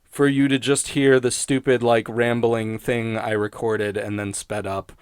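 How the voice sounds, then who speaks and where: A man with a smooth, clear voice at an average pitch talks solo in a loose, rambling style. He is hesitant and self-deprecating, occasionally swearing as he goes. The sound is dry, with no room around it. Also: level tone, unhurried, no echo. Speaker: YouTube host and TV critic. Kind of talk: rambling casual solo commentary.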